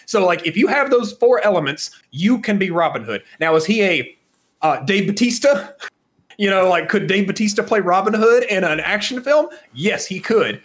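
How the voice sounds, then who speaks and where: A man with a fairly high voice co-hosts a podcast. He speaks casually and likes to explain, building his points step by step and seeking agreement along the way. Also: animated, moderate pace. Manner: explanatory, punctuates points with "right?". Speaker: podcast co-host. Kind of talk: casual chat.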